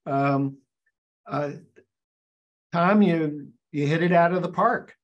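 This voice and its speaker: An older man hosting a video call interview, talking in halting stops and starts with many fillers. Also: on the high side, highs cut off. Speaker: show host and forum founder. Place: indoors, virtual backdrop. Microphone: webcam on video call.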